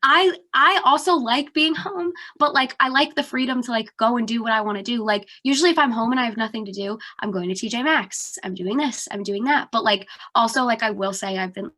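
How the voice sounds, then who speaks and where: A woman's voice: on the high side, a little fast, few pauses, bright, forceful tone. A young woman from New Jersey, sounding congested, telling a story in a casual podcast chat with lots of "like". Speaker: podcast co-host.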